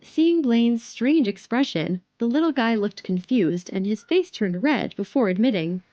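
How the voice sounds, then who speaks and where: A woman's voice: on the high side, animated, unhurried, mellow, no echo, muffled recording. A woman narrating an audio drama from a script as its storyteller.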